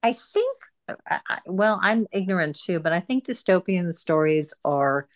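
A woman hosting an informal book group discussion, speaking haltingly and often repeating her phrases. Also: lowish voice, animated.

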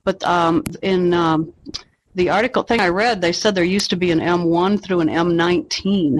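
A man with a fairly high voice talks informally as a book club member. His recording carries faint noise and small clicks. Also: low-quality recording. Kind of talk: casual group book discussion.

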